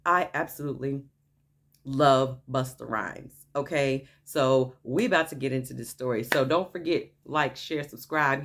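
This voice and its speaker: A woman with a low, naturally deep voice talks straight to her viewers in a casual story-time vlog. She is chatty and enthusiastic, piling on "okay?" asides as she builds up excitement. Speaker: vlogger.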